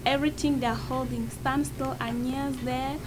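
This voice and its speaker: A woman's voice: sits high, fairly even intonation, moderate pace, noisy background, close to an everyday voice. A teenage girl playing a matter-of-fact male doctor of robot psychology in an amateur radio play.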